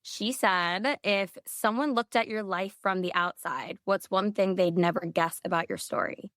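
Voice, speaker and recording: A woman's voice: medium pitch, fairly even intonation, unhurried, fairly bright tone, dry recording, clean studio sound. Bubbly woman podcast host. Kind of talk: casual chat interview.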